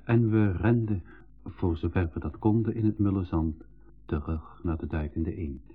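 A man playing an eager but sceptical brother and narrator in a 1980s educational radio drama, clearly enunciated, in a dull recording. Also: low voice, normal speed, soft background music. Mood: narrating.

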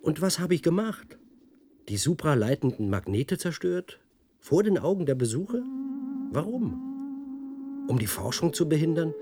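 A man's voice: a little high, big pitch swings, moderate pace, long pauses, warm tone, soft background music. An actor playing a mocking, brooding male press officer of a research centre in a German science-fiction radio drama. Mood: defiant, lecturing.

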